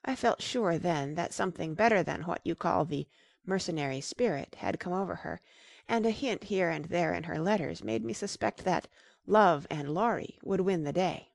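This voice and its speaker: Woman as a gentle mother with creaky low voice. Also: expressive, dry recording, clean studio sound. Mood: calmly pleased. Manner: tender, measured, reassuring. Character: gentle, loving middle-aged mother, encouraging and wise. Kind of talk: dramatized audiobook character reading.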